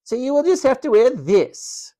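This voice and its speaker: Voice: high-pitched, very animated, normal speed, dark tone, voice pushed up. A storyteller voicing a cruel, sneering hospital matron, a domineering woman who snaps and mocks. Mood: smug, snapping. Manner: snapping, mocking, sarcastic, domineering.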